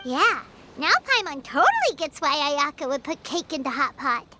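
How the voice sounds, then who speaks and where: A woman voicing a tiny, childlike, excitable fairy companion with a squeaky voice in an anime game. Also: normal speed, music in the background. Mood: teasing. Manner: exclaiming, third-person self-reference.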